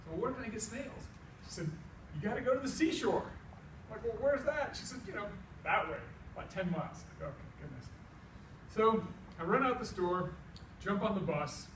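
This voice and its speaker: Echoey room, middle-aged man, American accent, high-pitched for a man, folksy comic storyteller, animated dialogue, faint background noise. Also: normal speed, far from the mic. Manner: animated, folksy delivery acting out both sides of dialogue. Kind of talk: live comic tall-tale storytelling.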